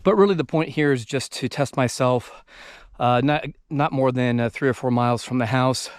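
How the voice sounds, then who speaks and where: An American man in his thirties talking casually to camera on a hike, halting and thinking aloud as he moves. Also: mid-range voice, clean tone, dry recording, clean studio sound. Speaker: vlogger. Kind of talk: casual talk to camera while hiking. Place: outdoors in open desert backcountry. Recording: outdoor noise on handheld camera.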